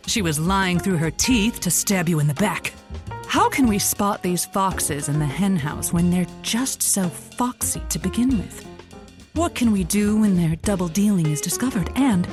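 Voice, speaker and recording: A woman acts out a theatrical lonely-hearts radio hostess in a comedy podcast. She is sharp-tongued and arch, with swooping intonation and a slow, savoring pace. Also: mid-range voice, close to an everyday voice. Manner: dramatic, wordy, arch. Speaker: radio advice show host. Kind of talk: audio comedy acting.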